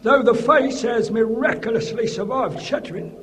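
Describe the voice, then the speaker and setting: An actor playing a deferential, melodramatic Victorian mortuary keeper in a radio drama, with a working-class London accent and an ominous, halting way of talking. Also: male voice, very high voice. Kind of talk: period comedy radio drama acting. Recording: reverberant cellar sound, faint noise.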